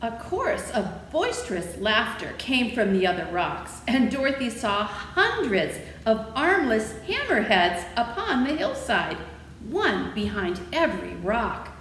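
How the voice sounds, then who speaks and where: A woman reading a children's story aloud with expressive, animated storytelling. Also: on the high side, unhurried. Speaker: library storytime reader. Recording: echoey room with faint noise.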